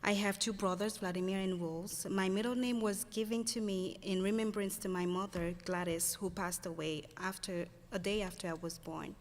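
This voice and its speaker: A woman reads a prepared testimony in a formal, measured manner. She speaks into a table microphone on a large stage, with a long hall reverb. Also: medium pitch, fairly even intonation. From Haiti. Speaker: panelist giving refugee testimony. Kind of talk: reading a prepared personal testimony.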